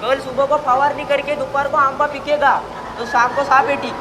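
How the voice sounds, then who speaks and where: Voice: high-pitched, little pitch movement, normal speed, background noise, lightly acted. A performer playing a bumbling village mango seller, chattering as if on the phone in broken, mixed speech in a school stage comedy. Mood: busy. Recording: live school stage recording.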